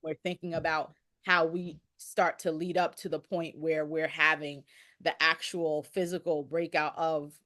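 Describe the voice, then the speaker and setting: Female podcast guest, slightly low voice, conversational history explainer who rephrases and circles back. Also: little pitch movement, a little slow, fairly bright tone.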